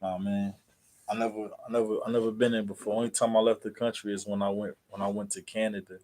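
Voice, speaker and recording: A man with an American accent chatting casually as a livestream host, in a halting, repetitive, rambling way full of fillers. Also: on the low side, flat delivery, a little mellow. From New Jersey, USA.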